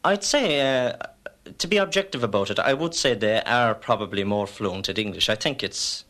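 An Irish man from the west talking about his daughters in a radio interview, measured and thoughtful, with frequent uhs. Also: medium pitch, lively intonation, fairly bright tone. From West Limerick, Ireland. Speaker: father interviewed at home.

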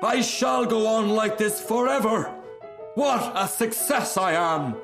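A man voicing a haughty, self-important firework rocket in a storybook, making pompous, drawn-out pronouncements. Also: medium pitch, big pitch swings, music in the background. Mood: grandly excited. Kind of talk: storybook character reading.

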